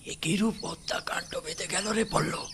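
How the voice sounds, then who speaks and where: An actor playing a terrified, guilt-ridden village man in a horror audio story, pleading in a panicky, breathy way. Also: sits high, brisk, background noise, lightly acted. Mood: fearful.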